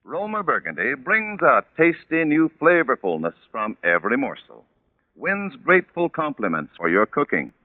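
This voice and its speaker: A man's voice: mid-range voice, very animated, moderate pace, dry recording, phone-quality sound. A man announcing a 1940s radio commercial with polished, emphatic salesmanship. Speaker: radio announcer and sponsor pitchman. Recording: dry, band-limited vintage radio recording.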